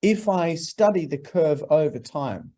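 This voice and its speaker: A man's voice: a little high, animated, unhurried, warm tone. A man in his forties from Norway, a guest in an interview, talking earnestly and preacherly in long emphatic lists. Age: 40s. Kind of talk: interview, motivational talk.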